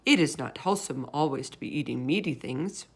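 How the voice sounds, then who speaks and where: A woman's voice: a bass voice, expressive, brisk, vocal fry, breathy edge, exaggerated voice. A woman voicing a kindly, innocent male giant in a storybook, earnest and dignified, with quaint broken grammar. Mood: earnest, persuading. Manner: earnest, moralising, ungrammatical speech. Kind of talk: storybook read-aloud character voice.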